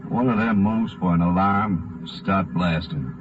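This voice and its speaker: An actor playing a hard, bossy bank robber in a 1950s American western radio drama, curt and folksy. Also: male voice, low voice, measured pace, noisy background. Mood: commanding. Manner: curt, commanding, folksy cowboy talk. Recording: muffled vintage radio recording.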